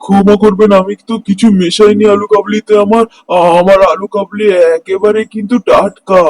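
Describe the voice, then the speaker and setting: Man acting greedy street vendor, higher-pitched voice. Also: flat delivery, normal speed, a little mellow, low-quality recording. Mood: pleading. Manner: stubborn, defensive, salesman-like patter. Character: greedy, dishonest male street snack vendor. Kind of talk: Bengali cartoon morality tale acting.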